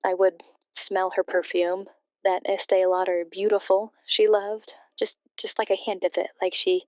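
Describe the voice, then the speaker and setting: Actress as a wistful bereaved daughter, evenly pitched. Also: female voice, smooth, no echo, muffled recording, close to an everyday voice. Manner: hesitant, halting, reflective. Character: bereaved daughter, wistful and sincere, confiding a ghostly story. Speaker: caller to a radio show. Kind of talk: scripted audio drama, emotional personal storytelling.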